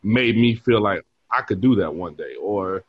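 A man's voice: on the low side, expressive, moderate pace, husky, dry recording, muffled recording. A man in his forties, a bar manager, chatting casually as an interview guest and telling stories with frequent laughs. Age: 40s. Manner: easygoing storyteller who laughs often.

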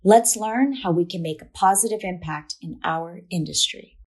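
A woman's voice: fairly low pitch, moderate pace, darkish tone, slightly rough. A woman hosting a podcast, reading a scripted introduction and then chatting with enthusiasm. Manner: enthusiastic, gushing, with fillers. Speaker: podcast host.

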